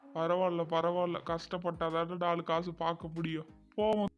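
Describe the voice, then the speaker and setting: A man voicing a poor, hardworking fisherman father in an animated story, in earthy speech. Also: fairly high pitch, moderate pace, soft background music, natural voice. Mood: resigned. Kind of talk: cartoon dialogue in colloquial rural speech.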